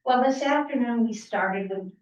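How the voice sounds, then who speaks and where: A woman anthropologist in the audience making a comment, speaking deliberately and stepping through a list of words one at a time. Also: fairly high pitch, a little hiss or hum. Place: lecture hall audience. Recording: distant, dull, echoey hall pickup.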